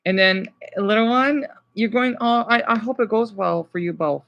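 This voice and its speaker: A man chatting casually to live viewers as a streamer, rambling and halting as he jumps between thoughts. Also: sits high, gravelly, fairly bright tone. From United States.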